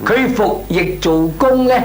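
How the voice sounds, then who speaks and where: A man's voice: sits high, expressive, measured pace, slight background noise. A male actor playing a nervous but cheeky employee in a comic radio drama, stammering and deferential yet pompous in argument, with a Hong Kong accent. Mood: cheeky insistence.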